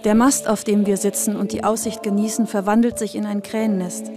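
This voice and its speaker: A woman's voice: mid-range voice, little pitch movement, brisk, over music. A woman reading a scripted first-person narration, as in a radio feature.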